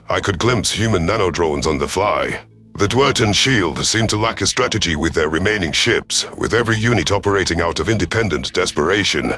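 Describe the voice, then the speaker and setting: A man reading a first-person science fiction story as a stern alien commander, with formal, measured wording. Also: low voice, a bit bright. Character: stern alien reptilian military commander narrating. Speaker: audiobook narrator of science fiction.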